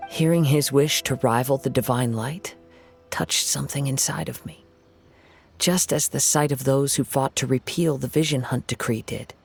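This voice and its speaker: A man plays a young wandering swordsman in a video game. The voice is mid-pitched with a clear creaky grain, and the delivery is gentle, measured and contemplative, with formal phrasing over faint music. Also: fairly even intonation, close to an everyday voice. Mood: reflective, earnest.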